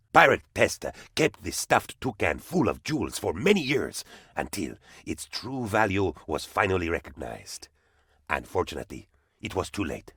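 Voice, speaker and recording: An actor voicing an excitable, devoted, scatterbrained aide to an island dictator, theatrical and eager to please, in a video game. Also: male voice, low-pitched, breathy edge, no room sound, studio quality, exaggerated voice. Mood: solemn storytelling. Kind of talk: video game character voice lines.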